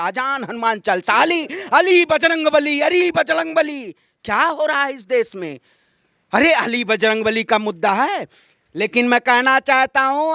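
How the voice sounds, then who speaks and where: A man's voice: very high-pitched, bright, forceful tone, no echo, clean studio sound. A man giving an impassioned political interview in a combative, declamatory manner, repeating phrases for emphasis. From Ayodhya, Uttar Pradesh, India. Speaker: political activist being interviewed.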